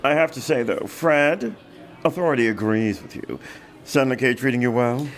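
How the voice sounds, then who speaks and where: A woman playing a droll, teasing senior crew member in a sci-fi audio drama, dry and deliberate. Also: a bass voice. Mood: wry, teasing.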